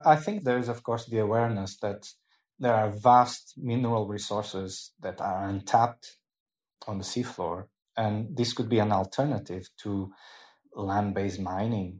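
A man giving measured expert answers in a panel discussion, with careful pauses. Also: fairly low pitch, steady tone, darkish tone. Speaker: expert guest.

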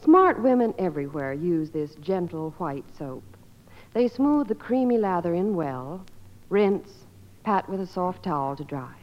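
A woman's voice: on the low side, sing-song, a little slow. An American woman delivering scripted 1950s radio advertising as a spokeswoman. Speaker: commercial spokeswoman. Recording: muffled old radio recording, hall reverb.

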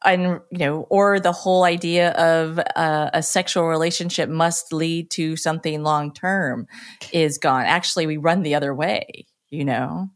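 A woman's voice: sits low, steady tone, measured pace. A woman in her fifties in a casual chat, speaking candidly and jokingly. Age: 50s.

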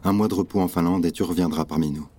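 An actor playing a steady, caring leader of a revolutionary cell in an audio drama, measured and reassuring. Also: male voice, sits low. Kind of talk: French audio drama acting.

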